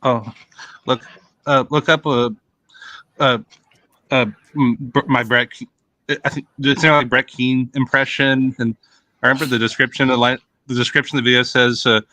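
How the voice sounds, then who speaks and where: A man's voice: mid-range voice, little pitch movement, bright, forceful tone, no room sound, rough recording. A man chatting casually on a group call, stumbling over his words with many fillers. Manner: halting, many fillers and restarts. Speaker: guest, YouTuber. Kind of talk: casual chat.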